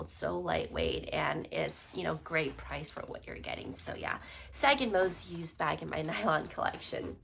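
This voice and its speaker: Young woman, congested, gravelly fry, lowish voice, faint background noise, relaxed chatty vlog. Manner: relaxed, chatty, many fillers. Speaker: YouTube vlogger. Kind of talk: casual bag review vlog. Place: home.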